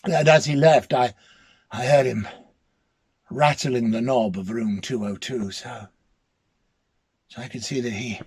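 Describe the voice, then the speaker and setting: A man giving a slow, halting dramatic first-person reading of a story, with a husky voice. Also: medium pitch, a little mellow. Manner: hesitant, halting, repeating words.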